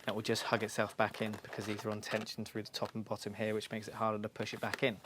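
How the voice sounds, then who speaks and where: A man's voice: lowish voice, monotone, quick pace, very noisy. A man giving an informal, practical step-by-step demonstration in a reassuring, instructional way. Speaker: motorcycle shop staff giving a fitting tutorial.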